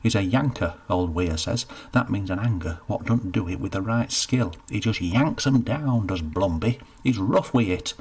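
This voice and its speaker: A man's voice: fairly low pitch, lively intonation, moderate pace, few pauses, a little mellow, husky. A man voicing an eager young rustic who wants to be hangman, earnest and broad in speech. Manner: earnest, broad dialect, pleading.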